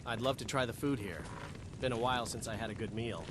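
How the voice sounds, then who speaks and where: A man's voice: mid-range voice, quick pace, background noise, close to an everyday voice. An actor voicing an easygoing male travel companion in a fantasy game, remarking on nature and food.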